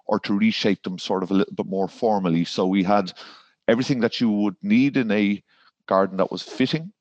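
A man's voice: lowish voice, brisk, darkish tone, no echo, muffled recording. An Irish man, a garden designer, talking in a podcast interview and rambling with fillers and restarts. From Ireland.

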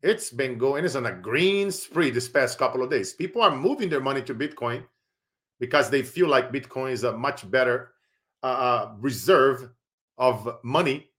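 Brazilian-accented man giving a motivational pitch. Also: expressive, normal speed, a bit bright. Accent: Brazilian Portuguese. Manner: persuasive, preachy, casual with many fillers. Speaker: podcast host. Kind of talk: motivational podcast monologue with sponsor plugs.

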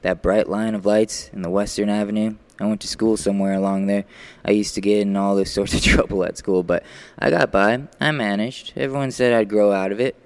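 A man playing a brooding, menacing murderer in a radio thriller, taunting and deliberate, with bitterness and sharp commands. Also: fairly low pitch, steady tone, smooth, no room sound, close to an everyday voice. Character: menacing, brooding male murderer toying with his captive. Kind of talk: classic radio thriller acting.

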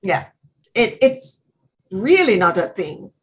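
A woman's voice: mid-range voice, very animated, slow pace. Confident storytelling woman. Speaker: guest. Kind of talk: podcast interview.

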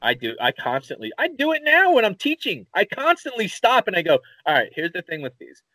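Philly-accent guy, podcast co-host, slightly high voice, dry and close on the mic, rambling storyteller. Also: a little fast, dry recording, rough recording. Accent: Philadelphia. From Philadelphia area, USA. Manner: rambling, animated storyteller, swears casually. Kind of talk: casual chat.

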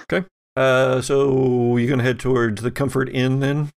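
A man's voice: mid-range voice, flat delivery, moderate pace, gravelly. A man running a tabletop roleplaying game as game master, explaining things conversationally.

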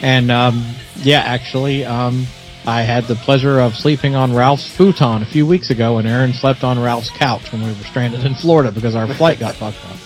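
A man from Nashville, a podcast guest, chatting in a relaxed, friendly way. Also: mid-range voice, normal speed, soft background music, low-quality recording. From Nashville, Tennessee. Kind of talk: casual chat.

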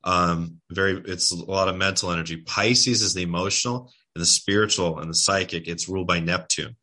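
A man's voice: low voice, brisk, sharp tone. A tired man talking casually as a guest on a livestream, rambling and restarting his words with lots of fillers. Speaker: spiritual guest speaker.

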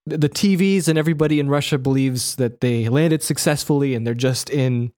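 A man's voice: medium pitch, fairly quick, mellow. A man co-hosting a casual film review chat, rambling along in a joking way and correcting himself as he goes. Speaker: podcast co-host.

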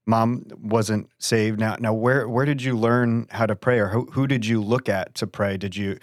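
A man's voice: fairly low pitch, fairly even intonation, no echo, studio quality. A man hosting a church talk show, asking questions with many restarts. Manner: halting, repeats words. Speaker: host. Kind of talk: interview questions.

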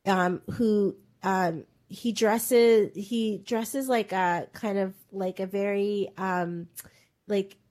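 A woman in relaxed podcast conversation, mid-pitched. She rambles through a story with constant fillers and restarts. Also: extremely slow. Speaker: podcast co-host. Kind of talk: casual pop-culture chat.

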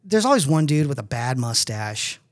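A man in his fifties chats as a podcast co-host with very lively swings in pitch. He rambles through blunt opinions and swears freely. The sound is close and dry. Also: normal speed, no echo. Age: 50s. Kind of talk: casual comedic chat.

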